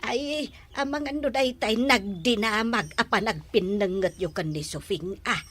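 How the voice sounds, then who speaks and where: A woman's voice: a little high, unhurried, a bit bright. An actress in an Ilocano radio drama playing a nosy, teasing village woman.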